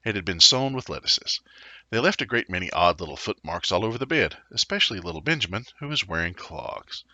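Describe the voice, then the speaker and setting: A man reading a children's story aloud in an animated, theatrical way. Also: sits low, a little fast, no room sound, highs cut off. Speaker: storybook reader. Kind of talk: expressive children's story narration.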